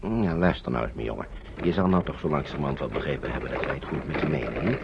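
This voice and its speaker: An actor playing a kindly, protective man coaxing refugee children in a halting, avuncular way, in a radio drama. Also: a bass voice, gravelly. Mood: coaxing, inquisitive. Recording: dull, noisy old radio recording.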